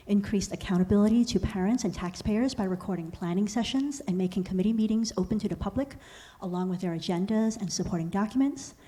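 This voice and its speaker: Woman reading her re-election speech at a forum, mellow dark voice, formal. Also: medium pitch, slightly rough. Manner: formal, reads a prepared speech. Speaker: school board member seeking re-election. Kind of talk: prepared candidate statement. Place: public forum room.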